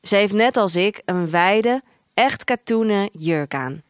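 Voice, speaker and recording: A woman's voice: mid-range voice, lively intonation, slow pace, clean tone, no room sound, clean studio sound. A woman playing a detached young female protester in a dystopian audio drama, narrating in literary first person. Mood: matter-of-fact. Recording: music under the voice. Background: music.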